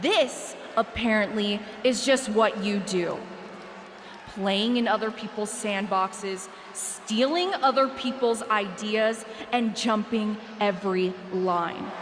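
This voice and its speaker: A woman's voice: medium pitch, takes it slowly, lightly acted. An actress playing a sardonic, vengeful female wrestler, delivering a mocking, accusatory promo in a wrestling video game. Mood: accusing. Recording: long arena reverb, clear background noise.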